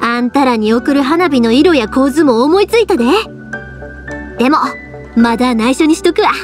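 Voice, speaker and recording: A lively fireworks girl with a Kansai accent. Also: sits high, moderate pace. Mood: cheerful. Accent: Kansai dialect. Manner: lively, teasing, warm-hearted. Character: cheerful young woman fireworks maker. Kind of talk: video game voice acting. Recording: game audio with soft music.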